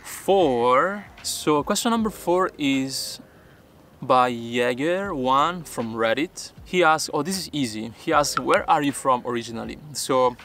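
A man's voice: mid-range voice, animated, unhurried, fairly bright tone, slight background noise. A young man from Peru, a native Spanish speaker, answering viewer questions in careful, earnest English while sitting outdoors by the water. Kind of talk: casual vlog Q&A.

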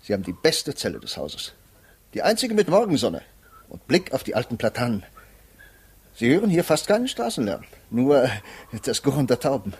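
An actor playing a polite, officious defence lawyer in a 1950s German radio play, formal, hesitant and placating. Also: male voice, medium pitch, wildly swooping pitch, moderate pace, darkish tone, breathy edge, heavily acted. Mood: polite, reassuring.

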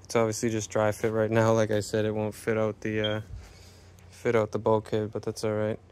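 A man casually talking through a carpentry build as he works, chatty and relaxed. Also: on the low side, moderate pace, clean tone. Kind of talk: casual build vlog narration. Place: workshop and home interior.

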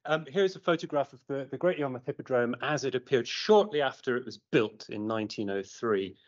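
British male academic presenter, informal and halting, mid-pitched voice, muffled lo-fi recording. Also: expressive. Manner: halting, often repeating words. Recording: muffled lo-fi online meeting audio.

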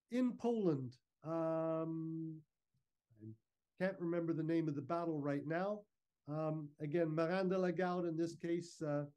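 A man giving an informal, measured, explanatory slide talk over a video call. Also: a little high, a little mellow, clean tone. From Canada. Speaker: guest speaker, historical reenactor. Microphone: video call microphone.

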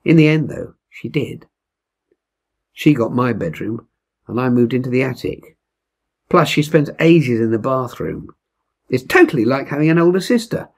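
Man in his seventies, husky voice, animated intonation, expressive storybook reader, indoors. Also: mid-range voice, moderate pace, long pauses. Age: 70s. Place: indoors in a wood-beamed room at home.

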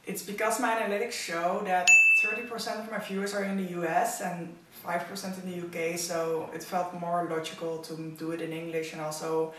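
A woman's voice: on the low side, level tone, fairly quick, few pauses, noisy background. Chatty Dutch-accented woman in an echoey room. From Netherlands. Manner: chatty, opinionated about outfits. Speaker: fashion vlogger. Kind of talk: casual talk to camera. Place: living room at home. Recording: echoey room, mic at a distance.

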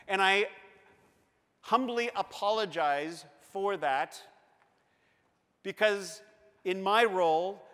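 A man's voice: high-pitched, pushed and bright. A man in his fifties with a Canadian accent, giving an earnest, emphatic conference keynote as a school board director on a lapel mic in a large hall. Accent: Canadian English. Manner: earnest, emphatic, gestures while pacing the stage.

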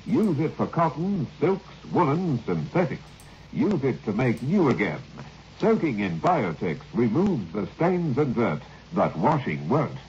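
A muffled old radio recording with some noise frames a male commercial announcer. He pitches in emphatic, clipped phrases, his intonation rising and falling in a lively way. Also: measured pace. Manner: emphatic, clipped list-like selling. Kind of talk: vintage radio advertising read.

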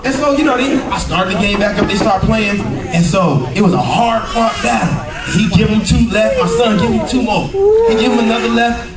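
An American man in his thirties performing animated, colloquial stand-up storytelling for a church audience. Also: sits high, normal speed, over a noisy crowd. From United States. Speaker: stand-up comedian. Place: indoors in a church sanctuary. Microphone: handheld mic through speakers, picked up by far camera. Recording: echoey church hall, distant camera, loud audience. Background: loud laughing audience.

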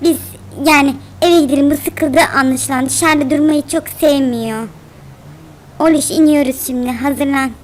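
A woman's voice: high voice, fairly even intonation, moderate pace, slight background noise, lightly acted. A woman voicing a fussy, doting spider mother in a children's cartoon, chattering and chiding with lots of exclamations. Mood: chiding, apologetic.

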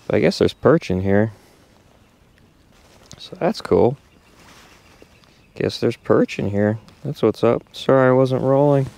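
A man filming himself fishing outdoors, chatting casually to the camera and muttering to himself with sudden excited bursts. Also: mid-range voice, slow, vocal fry, long pauses. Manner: relaxed, mutters to himself, excited bursts when a fish bites. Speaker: angler filming his fishing trip. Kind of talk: casual self-narrated fishing vlog. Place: outdoors by a brook from a small boat.

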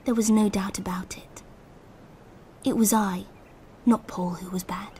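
An actress playing a reflective woman looking back on her girlhood in a radio drama, narrating in a hushed, breathy voice. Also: mid-range voice, steady tone, measured pace, a little hiss or hum, close to an everyday voice.

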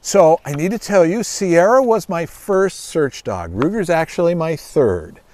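Expressive, higher-voiced middle-aged man reading aloud. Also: a little slow. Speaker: guest author reading own children's book. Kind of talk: storybook read-aloud for children. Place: outdoors on a grassy lawn by trees.

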